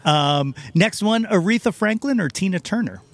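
A man's voice: high voice, lively intonation, moderate pace. A man hosting a podcast in a casual, conversational interview style. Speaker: podcast host.

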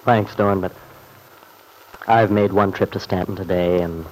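Low-voiced weary, stoic radio drama man. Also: steady tone, a little slow, a little mellow, poor audio. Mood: weary, resigned. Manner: hesitant, downbeat. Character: weary, subdued, newly divorced man, polite and stoic. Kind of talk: old-time radio drama acting.

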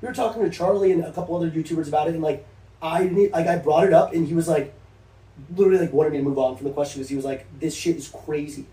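A man in his twenties hosting a podcast, asking questions in a relaxed way full of fillers. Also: on the high side, racing. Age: 20s. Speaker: podcast host. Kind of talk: casual interview chat. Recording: distant echoey mic.

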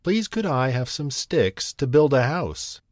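A man's voice: mid-range voice, sing-song, unhurried, no room sound, studio quality, voice pushed up. A man voicing a young male pig in a storybook, with a lifted, pleading voice. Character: second little pig, young male, cheeky.

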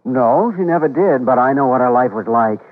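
An actor playing an earnest, easygoing young male doctor in an old-time radio drama, in a gentle conversational manner. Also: mid-range voice, expressive, fairly quick, lightly acted. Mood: warm, reflective. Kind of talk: 1940s-50s radio drama acting. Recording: tinny old radio recording, music behind.

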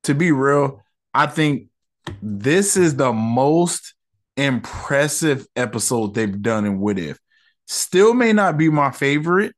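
A man's voice: mid-range voice, slow pace. Laid-back man hosting a solo review podcast. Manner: relaxed and conversational, with slang and frequent fillers. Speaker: podcast host reviewing an episode.

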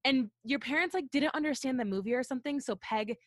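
A woman's voice: high-pitched, quick pace, dry recording, studio quality. A woman in her twenties hosting a podcast, talking in a bubbly, upbeat way with lots of fillers. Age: 20s. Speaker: podcast host. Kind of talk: casual podcast interview.

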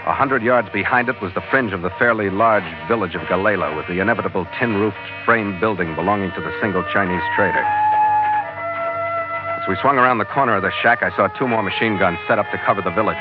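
A man's voice: fairly low pitch, fast talker, lightly acted. A man playing a tough American sea captain narrating a 1940s radio adventure in clipped, hard-boiled, dramatic fashion. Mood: wry, grim. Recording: muffled old radio broadcast with music.

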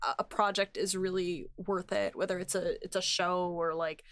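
A woman hosting a podcast, chatting casually and enthusiastically about making a show, quick to laugh. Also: on the low side, steady tone, a bit bright, no echo. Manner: effusive, enthusiastic, prone to laughing. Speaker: podcast host and series writer.

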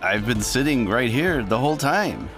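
A man's voice: mid-range voice, expressive, normal speed, a bit bright, soft background music. A man playing a humourless, puzzled, touchy tavern musician in a fantasy audio drama, hesitant and baffled.

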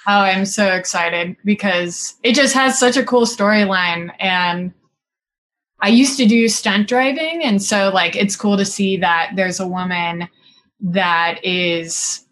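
A woman's voice: medium pitch, slow pace, a bit bright, slight background noise. A woman in her thirties from Singapore, a film director chatting casually as an interview guest, talking in long runs packed with "like" and "you know" and laughing easily.

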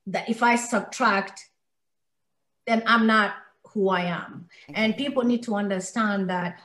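A woman's voice: mid-range voice, a bit bright. A woman from Kenya speaking as an interview guest, impassioned and emphatic, repeating phrases for weight. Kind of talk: earnest conversational interview. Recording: echoey room.

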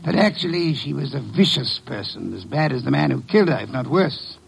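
A man playing a shrewd, pompous gentleman detective with formal, deliberate phrasing and a gravelly, husky voice in a 1950s radio drama. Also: mid-range voice, animated, a little hiss or hum, rough recording. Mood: smug, pointed.

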